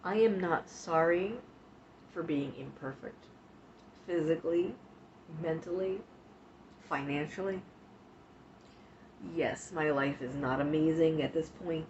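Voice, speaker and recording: Low, slow-talking woman with the flu. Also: darkish tone, a little hiss or hum. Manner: frank and profane, talks straight to chat. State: sniffly with flu, coughing. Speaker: livestream host. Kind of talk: casual livestream chat about self-care. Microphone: streaming mic. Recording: dull lo-fi stream audio.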